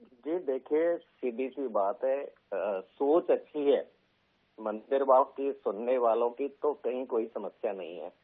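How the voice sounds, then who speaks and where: A man's voice: mid-range voice, animated, slow pace. A man speaking as a political spokesperson in a news debate, moralizing and repeating his points. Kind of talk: live news debate commentary. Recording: narrow-band remote call audio.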